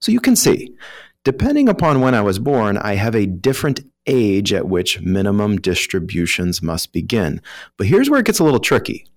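Low-voiced American man explaining finance. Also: huge pitch swings, normal speed, no room sound, clean studio sound. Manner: explanatory, informal, voices hypothetical first-person examples. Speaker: retirement planning professional, guest expert. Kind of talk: conversational explaining of financial rules on a talk show.

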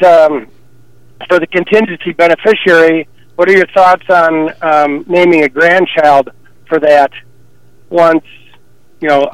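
A man's voice: on the high side, clear, resonant tone, no room sound, low-quality recording. Hesitant man in his sixties calling in. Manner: hesitant, full of ums. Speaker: caller. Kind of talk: phone-in question.

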